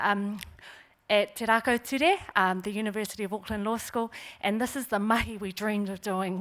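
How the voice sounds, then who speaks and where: A woman giving a prepared symposium talk. She opens with formal greetings and speaks in a measured way with frequent fillers. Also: medium pitch, a bit bright. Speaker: symposium presenter. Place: conference hall.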